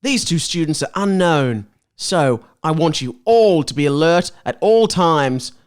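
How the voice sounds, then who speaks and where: A man with a high voice and very lively intonation hosts a comedy podcast. He moves between reading a silly story aloud and casual chat, jokey and prone to giggles. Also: measured pace, clear, resonant tone. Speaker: podcast host.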